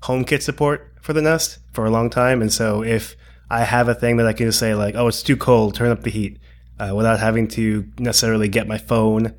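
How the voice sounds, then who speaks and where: A man's voice: on the low side, little pitch movement, brisk. A man co-hosting a tech podcast, chatting casually in a hesitant, rambling way that hedges as he goes. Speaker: podcast co-host.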